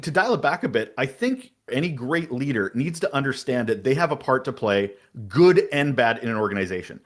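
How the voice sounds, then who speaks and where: An outspoken man answering interview questions on a podcast. His voice is mid-pitched and carries, and he talks with energetic emphasis. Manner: energetic, emphatic, talks about having a big voice. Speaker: podcast guest.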